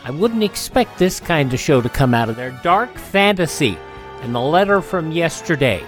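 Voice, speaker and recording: A man's voice: a little high, very animated, moderate pace, soft background music. A man hosting a radio program, giving a conversational introduction to a show.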